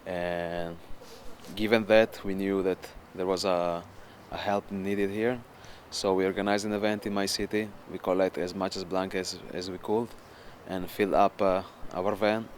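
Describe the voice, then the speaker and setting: A man's voice: deep voice, flat delivery, measured pace, slight background noise. A young man, telling his story in a plain, matter-of-fact way. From Italy. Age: 20s. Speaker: volunteer interviewee. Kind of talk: interview answers.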